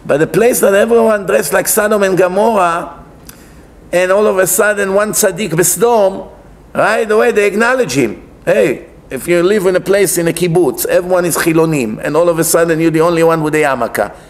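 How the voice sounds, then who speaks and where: High-pitched Israeli-accented male rabbi lecturing. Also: normal speed. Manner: explanatory, emphatic, rhetorical questions, repeats phrases. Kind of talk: religious lecture, conversational preaching. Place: indoors, wood-panelled synagogue, seated at a lectern.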